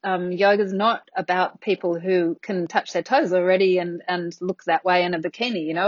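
A woman's voice: medium pitch, breathy edge, no echo, dull audio. A woman, a guest chatting casually and irreverently about her work, laughing often. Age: 50s. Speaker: interview guest, yoga business founder. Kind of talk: casual conversation.

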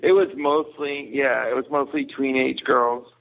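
A man's voice: mid-range voice, steady tone, measured pace, creaky, narrow, tinny audio. A man speaking casually as a podcast guest reviewer, hesitant and restarting his phrases.

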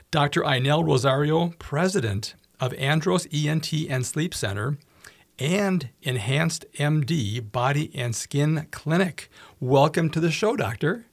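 Man, radio host, gravelly voice, upbeat, call-in show. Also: on the high side, no room sound. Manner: upbeat, reads phone numbers slowly.

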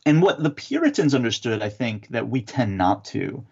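A man answering interview questions as an author and theologian, explaining in a measured, teacherly way with some fillers. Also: medium pitch, very animated, creaky, a little mellow, slightly rough, dull audio.

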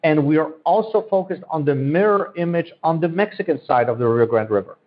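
A man's voice: on the high side, a little fast. A man from Central Europe, a native Polish speaker, giving earnest, promotional answers in a podcast interview and often repeating his phrases. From Central Europe, born in Poland, raised in Germany. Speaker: economic development guest. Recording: dull, lo-fi audio.

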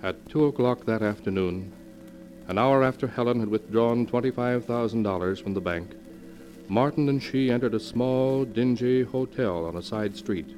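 An actor narrating a suspense tale in solemn, deliberate 1940s radio style, with an ominous manner. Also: male voice, medium pitch, a little mellow, lightly acted, poor audio. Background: dramatic music.

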